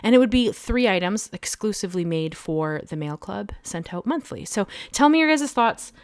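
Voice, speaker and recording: Crafting host, woman, a bit under the weather with a cold; chatty, low-energy, dry close mic. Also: lively intonation, normal speed, clean tone, no room sound. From Canada. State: has a head cold and sore throat. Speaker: card-making channel host. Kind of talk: crafting tutorial voiceover.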